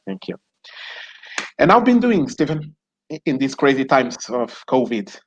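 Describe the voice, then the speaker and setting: A man's voice: medium pitch, sing-song, measured pace, no echo, lo-fi sound. A man from Mozambique, hosting an online talk show in a hesitant way with many fillers and restarts. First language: Portuguese. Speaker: show host.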